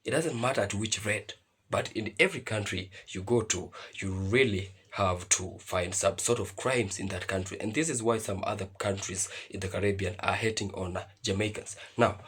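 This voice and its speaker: A man's voice: lowish voice, fairly bright tone, slight background noise. A man hosting his reaction channel, reading and explaining points he has noted down, opening each one with "now". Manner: measured, explanatory, repeats "now" to open points.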